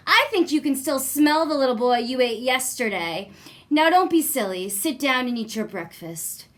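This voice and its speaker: A woman voicing a giant's tall wife in a storybook, wry, kindly and no-nonsense, gently scolding. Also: high voice, animated, normal speed.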